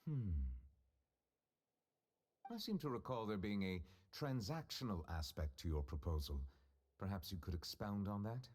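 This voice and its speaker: Stiff formal judge, lowish voice, faint hiss behind. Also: male voice, lively intonation, a little mellow. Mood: reserved. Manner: formal, deliberate, guarded. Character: formal, reserved male chief judge.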